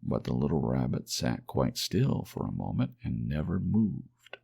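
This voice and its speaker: A man softly and expressively narrating a children's storybook as an ASMR audiobook. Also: rumbling low, sing-song, a little slow, creaky, husky.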